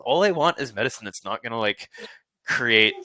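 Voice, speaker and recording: A male podcast host with a bright, forceful voice, recorded close and dry. English is not his first language, and he talks earnestly, laughing often between his words. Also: medium pitch, expressive, normal speed, no echo, poor audio. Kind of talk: casual interview chat.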